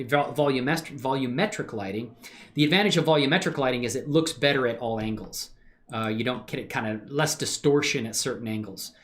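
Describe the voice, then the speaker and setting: A man's voice: mid-range voice, a bit bright. A middle-aged man chatting informally and enthusiastically as he hosts a casual livestream demonstration. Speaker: livestream host and hobby animator.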